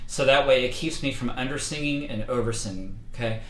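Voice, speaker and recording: Male vocal coach, mid pitch, casual livestream lesson full of fillers, roomy echo. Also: brisk. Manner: relaxed, conversational, many fillers. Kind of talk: casual instructional talk. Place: indoors, livestream setup.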